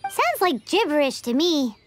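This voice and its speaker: A tiny, cheeky sidekick girl from a video game. The voice is extremely high and squeaky, with wild swings of pitch and an unhurried pace. She is blunt and exclamatory. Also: sing-song. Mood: sceptical. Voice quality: squeaky, childlike. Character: tiny childlike floating girl companion, chirpy and blunt. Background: playful background music.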